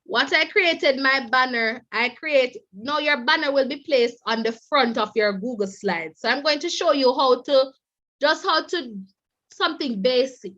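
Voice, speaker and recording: A woman's voice: fairly high pitch, fairly bright tone, lo-fi sound. A woman leading an online training session for teachers. She sounds stuffy and nasal from the flu, repeats herself and keeps calling her listeners "teachers" as she coaxes them along. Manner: repetitive, coaxing, keeps addressing "teachers".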